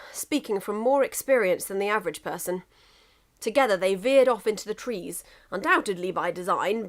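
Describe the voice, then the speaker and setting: A woman reading a scripted story aloud as an expressive narrator. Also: medium pitch. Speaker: narrator, fan fiction reader.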